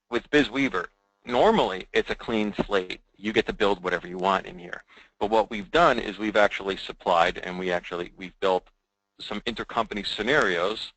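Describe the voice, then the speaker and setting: A man giving an informal software demo as a presenter, in a conversational way that often checks in with the listener. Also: on the low side, dry recording, rough recording.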